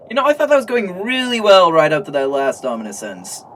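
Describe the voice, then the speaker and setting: A man playing a wisecracking, incredulous young superhero with a sarcastic, animated manner in a comic book fan dub. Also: high voice, fast talker, slight background noise, full character voice.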